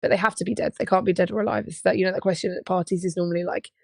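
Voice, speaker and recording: A young woman with a slightly hoarse voice, co-hosting a casual live podcast, chatty and giggly. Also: on the low side, steady tone, very fast, a little mellow, no echo, studio quality. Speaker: podcast co-host, touring musician.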